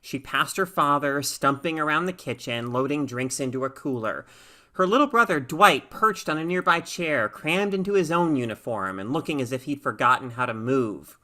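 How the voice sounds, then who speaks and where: A woman reading a short story aloud in a measured, expressive narrating style. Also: very deep voice. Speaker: story reader.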